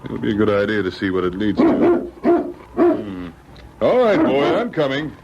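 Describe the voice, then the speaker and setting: An actor playing a steady, observant police sergeant who muses aloud to his dog, in 1940s radio drama style. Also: medium pitch, dull audio. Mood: curious. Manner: deliberate, musing aloud. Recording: noisy, band-limited 1940s radio broadcast recording.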